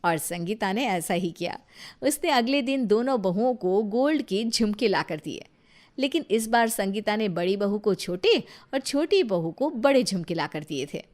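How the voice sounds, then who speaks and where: A woman's voice: mid-range voice, animated, moderate pace, darkish tone. A woman narrating a scripted moral story as its storyteller.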